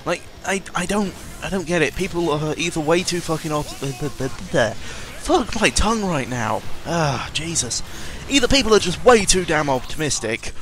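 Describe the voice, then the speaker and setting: A man's voice: a little high, expressive, measured pace, few pauses, a bit bright, a little hiss or hum. A man giving a casual, rambling audio-log monologue as host, sardonic and cynical, often interrupting himself and swearing freely.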